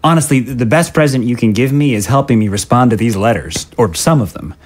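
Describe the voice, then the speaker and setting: Man acting a lively-pitched, flustered politician. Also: quick pace, natural voice. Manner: hesitant, stammering, apologetic. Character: flustered, polite male politician with new aide. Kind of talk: audio drama dialogue acting.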